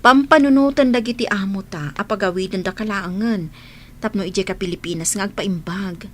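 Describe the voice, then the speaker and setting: A woman's voice: mid-range voice, moderate pace, a little hiss or hum, lightly acted. A woman playing a frank, concerned friend in a radio drama, scolding yet caring, with a Filipino accent.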